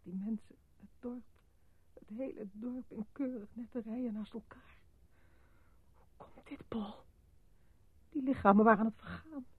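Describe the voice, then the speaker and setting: An actress playing a frightened, questioning woman with an anxious, hushed manner in a Dutch radio play. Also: on the high side, a little slow, pauses often, breathy edge, no echo. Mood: shocked, hushed. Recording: muffled phone-like old radio recording.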